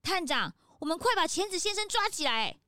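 A woman doing an eager detective's assistant in a children's audio drama, very high and bright with a lively, bouncing delivery. She fusses and jumps in eagerly, with soft music behind. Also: fairly quick, no room sound, studio quality, exaggerated voice. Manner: eager, fussing.

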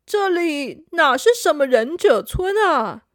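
A woman's voice: high voice, big pitch swings, slow pace, no room sound, studio quality, exaggerated voice. A woman voicing an older boy in a children's story, surprised and puzzled. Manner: surprised, frowning.

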